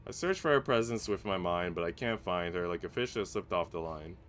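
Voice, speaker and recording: A man reading a visual novel aloud as a streamer, in an expressive, dramatic narration that drops hushed and rises with emphasis. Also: sits low, fairly quick. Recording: faint game music behind. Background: game music.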